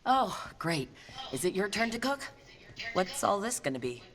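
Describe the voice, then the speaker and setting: A woman's voice: mid-range voice, sing-song, normal speed, noisy background, close to an everyday voice. An actress playing a friendly, teasing young female team leader in a fantasy game, with a wry, encouraging manner. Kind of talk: natural game acting. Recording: game music behind.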